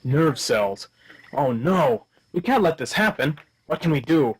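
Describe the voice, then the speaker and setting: A man acting as bumbling amnesiac hero, wide-swinging pitch, naive exclamations, wisecracks, slightly husky, comic radio drama. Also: expressive, measured pace. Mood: eager. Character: bumbling, excitable amnesiac male action hero, cheerfully clueless. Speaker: actor in a radio play.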